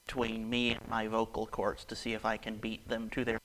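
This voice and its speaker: A man preaching a casual, conversational sermon, his voice raspy and hoarse from a cold. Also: lowish voice, monotone, brisk, fairly bright tone, slight background noise, rough recording.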